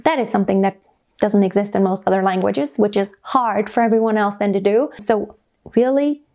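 A woman's voice: mid-range voice, a little fast, dark tone. A woman in her thirties with a light German accent gives a singing lesson to camera. She speaks animatedly in a home room with a piano and demonstrates sounds as she explains them. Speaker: singing teacher.

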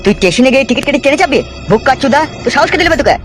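A man's voice: very high voice, expressive, racing, a bit bright, soft background music. A man voicing a brash local gangster henchman who repeats himself, in a comic cartoon. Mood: menacing. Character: swaggering local gangster, the politician's eager henchman.

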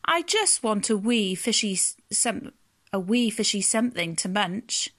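A woman's voice: medium pitch, sing-song, unhurried, fairly bright tone, slightly rough, heavily acted. A woman voicing a big, mean, greedy shark in a bedtime story, sly and wheedling, with a sneering false sweetness. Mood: wheedling, coaxing.

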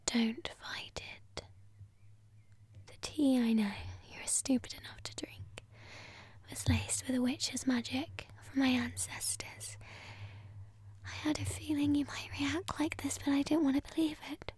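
A woman playing a ghostly, obsessive dead fiancée in a breathy, whispered ASMR roleplay, intimate, possessive and menacing. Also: high voice, steady tone, a little slow, darkish tone, no echo. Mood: coaxing, eerie.

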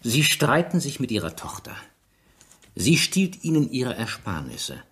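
A persistent police inspector, swinging intonation. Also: male voice, medium pitch, huge pitch swings, unhurried, a bit bright. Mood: methodical, sceptical. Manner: probing, pressing, methodical questioning. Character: persistent, sharp male police inspector interrogating suspects. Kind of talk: radio drama acting.

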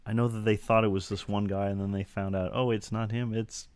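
A middle-aged man co-hosting a casual home podcast, explaining details in a knowledgeable way into a studio boom mic. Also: level tone, a little fast, darkish tone, clear, resonant tone, no room sound. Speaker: podcast co-host. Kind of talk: casual chat. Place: home studio room with shelves of collectibles. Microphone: studio mic on boom arm.

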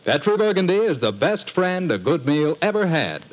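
A man's voice: on the high side, very animated, moderate pace, heavy background noise. A man announcing on the radio, reading an upbeat, salesy ad and scripted narration. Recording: dull lo-fi 1940s radio broadcast.

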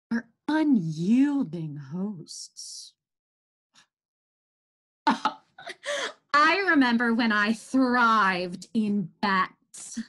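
A woman playing a boastful, menacing virus in a theatrical, drawn-out stage monologue. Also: medium pitch. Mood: exasperated, then bitter. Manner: theatrical, drawn-out, gloating, swinging from outbursts to hushed menace. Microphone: computer microphone, close webcam framing.

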